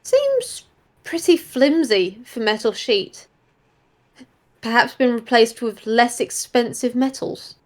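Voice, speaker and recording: A woman reading role-play story narration aloud in an expressive style. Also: on the high side, slow pace.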